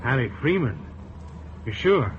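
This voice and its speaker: An actor playing a dry, sardonic police chief inspector in an old radio drama. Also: male voice, mid-range voice, sing-song, measured pace. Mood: curt. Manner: curt, dry, understated. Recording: dull old radio broadcast with faint noise.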